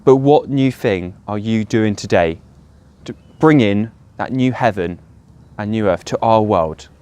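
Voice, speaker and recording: A man's voice: on the low side, unhurried, darkish tone, smooth. A man giving a short devotional talk as a church speaker, explaining earnestly and posing rhetorical questions. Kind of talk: short sermon talk to camera or listeners.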